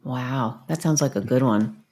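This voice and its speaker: A woman with a low voice, co-hosting a podcast. Her talk is casual, informal and expressive, full of reactions. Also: measured pace, slight background noise. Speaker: podcast co-host. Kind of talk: casual chat.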